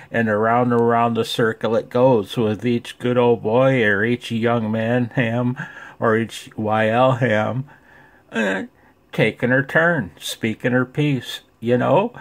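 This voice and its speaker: A man talking casually and off the cuff as a ham radio vlogger, folksy and rambling, with a gravelly voice. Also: medium pitch.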